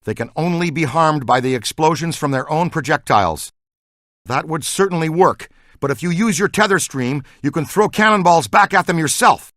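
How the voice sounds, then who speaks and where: An actor playing an excitable, geeky male scientist who investigates the paranormal in a video game, earnest and full of technical jargon. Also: a little high, moderate pace, dry recording, clean studio sound, natural voice. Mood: urgent, instructing. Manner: earnest, technical jargon, swings into boyish enthusiasm. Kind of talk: scripted game dialogue.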